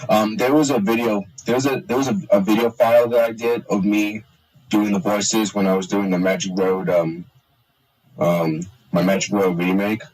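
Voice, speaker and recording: A young man chatting casually on a stream, halting with many restarts and fillers. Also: fairly low pitch, steady tone, a little mellow, far from the mic, poor audio. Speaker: streamer and hobby voice actor. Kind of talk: casual chat on a live stream.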